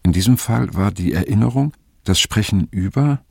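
Older guy thinking back, deep croaky husky voice, pensive radio play monologue. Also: lively intonation, moderate pace, a little mellow, no room sound, clean studio sound, exaggerated voice. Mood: pensive, reflective. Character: older man looking back, haunted, reflective narrator. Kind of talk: scripted radio drama narration, introspective monologue.

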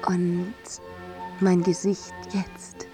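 A woman's voice: on the low side, flat delivery, very slow, music in the background. An actress playing a romantic, coquettish lady in a theatrical audio drama, with an affected, dramatic manner. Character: romantic, coquettish young lady playing at fairy-tale princess.

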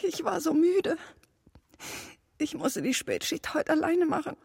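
Distraught exhausted wife, high voice, creaky, close dry sound, radio drama. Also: female voice, lively intonation, a little slow, darkish tone, no room sound, full character voice. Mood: distraught, frightened. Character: exhausted, guilt-stricken, frightened wife confessing an accident.

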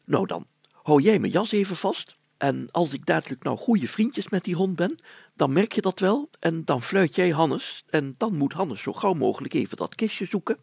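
A man's voice: on the high side, sing-song, normal speed, vocal fry, a little mellow, breathy edge, no echo, pitched above the performer's own voice, heavily acted. A man voicing a pompous, gullible, greedy older village mayor in a storybook reading, chatty and full of himself. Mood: blustery.

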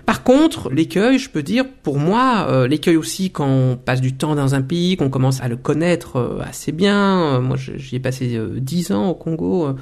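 A man in his forties, a documentary maker and former journalist, telling his story in a radio interview with thoughtful pauses and restarts. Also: fairly high pitch, lively intonation, vocal fry. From Belgium. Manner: thoughtful, many hesitations and restarts.

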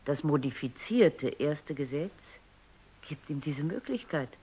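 An actress playing a stern, coolly analytical robot psychologist in a radio drama, precise, commanding and didactic. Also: female voice, low-pitched, lively intonation, unhurried, warm tone, husky, full character voice. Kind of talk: German radio drama acting. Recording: muffled, dull radio drama recording.

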